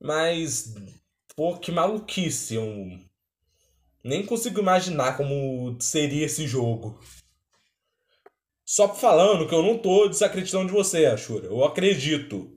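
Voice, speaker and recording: A man with a Brazilian accent giving casual, spontaneous commentary as a reaction video host, in a halting way with broken-off words. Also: a little high, big pitch swings. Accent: Brazilian Portuguese. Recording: roomy, echoing voice recording.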